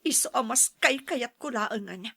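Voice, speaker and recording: A woman's voice: a little high, expressive, measured pace, vocal fry, bright, forceful tone, breathy edge, heavily acted. A woman playing a betrayed, anguished wife with a fierce temper in a radio-style melodrama, emotional and confrontational. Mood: hurt, bitter.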